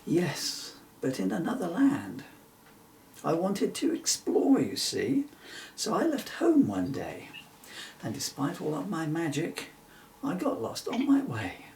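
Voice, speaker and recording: Storybook unicorn, male, played by a man: gentle, playful joker, hugely animated delivery, unhurried. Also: a little high, creaky, recorded from a distance, a little hiss or hum, voice pushed up, full character voice. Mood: wistful. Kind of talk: children's storybook character reading.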